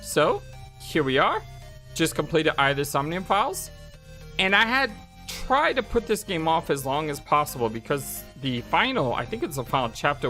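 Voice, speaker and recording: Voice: medium pitch, bright, forceful tone. A gaming channel host giving casual, reactive let's play commentary in an exclamatory way. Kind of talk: blind let's play commentary, casual chat and reading dialogue aloud.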